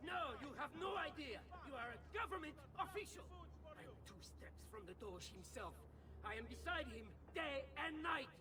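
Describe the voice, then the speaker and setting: A man's voice: very high voice, lively intonation, moderate pace, far from the mic. A man playing an insecure, defensive government official with an Italian accent in a video game cutscene, pleading and self-important. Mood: indignant whining. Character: insecure, whining, defensive male Venetian government official and conspirator.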